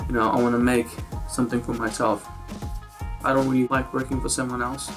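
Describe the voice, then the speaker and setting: A man's voice: medium pitch, flat delivery, a little fast, music in the background. A young man from Afghanistan, a university student talking earnestly and casually in a documentary interview. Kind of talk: documentary interview and street chat.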